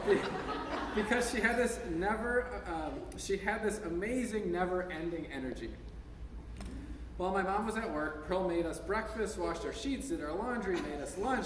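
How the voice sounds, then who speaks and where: An American man in his thirties reading an essay aloud to a gathering in an informal way, now and then stumbling over his words. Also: sits high. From United States, Minnesota. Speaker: speaker at a memorial service. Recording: echoey hall from far off, noisy.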